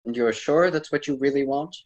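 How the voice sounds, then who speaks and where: A man's voice: medium pitch, fairly quick, a little mellow, lo-fi sound, close to an everyday voice. A man playing a patient ancient Roman poet and mentor in a radio drama, speaking in formal, old-fashioned phrases. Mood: patient, persuasive. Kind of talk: theatrical radio drama dialogue.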